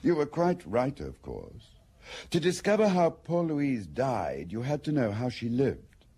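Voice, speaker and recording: An actor in a radio crime drama playing a detective's senior colleague, husky, dry, urbane and gently teasing. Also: male voice, medium pitch, huge pitch swings, unhurried, heavily acted. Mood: dryly amused.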